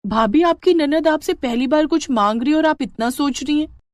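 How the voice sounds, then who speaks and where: Woman putting on a high-pitched, pushy young sister-in-law. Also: moderate pace, no room sound, studio quality, natural voice. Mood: wheedling, entitled. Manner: wheedling, entitled.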